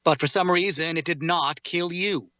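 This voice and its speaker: Man acting a solemn, higher-pitched cursed swordsman. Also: sharp tone, dry recording. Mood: grave, intent. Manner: measured, formal, explanatory. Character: solemn, learned male warrior, bearer of a cursed sword. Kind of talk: dramatic fantasy table read.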